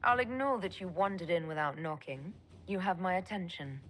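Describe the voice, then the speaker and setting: An actress playing a sharp elf mage leader in a fantasy video game, with a terse, dry, questioning manner. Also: female voice, lowish voice, moderate pace, in a large hall, close to an everyday voice. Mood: cool, curt.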